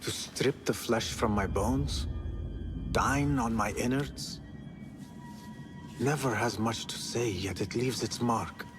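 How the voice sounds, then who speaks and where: An actor playing a young street thief in a video game, idealistic, eager and a little cocky. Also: male voice, medium pitch, slow, long pauses, music in the background, natural voice. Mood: troubled, low. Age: young adult. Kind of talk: cinematic video game acting.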